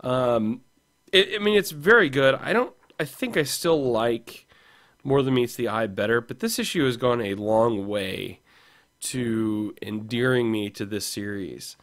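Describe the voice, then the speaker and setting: A man with a slightly hoarse, rough voice, co-hosting a casual podcast chat about comics and rambling with fillers and restarts. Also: medium pitch, measured pace, fairly bright tone. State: hoarse, losing his voice. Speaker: podcast co-host.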